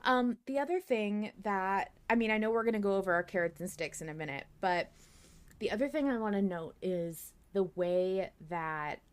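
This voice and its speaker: A woman's voice: mid-range voice, animated, normal speed, smooth. A woman co-hosting a podcast in casual fan discussion, talking in a giggly, casually sweary way. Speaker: podcast host.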